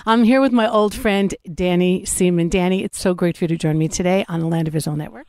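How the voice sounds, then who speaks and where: A woman hosting an interview show, chatty and animated, joking with her guest while sitting outdoors. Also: lowish voice, fast, no room sound. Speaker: talk show host. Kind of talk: casual interview. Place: outdoors on a platform.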